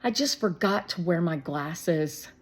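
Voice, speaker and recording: A woman's voice: on the low side, lively intonation, measured pace, slightly rough. A woman voicing an earnest, reserved young boy in a storybook, matter-of-fact and a little guarded.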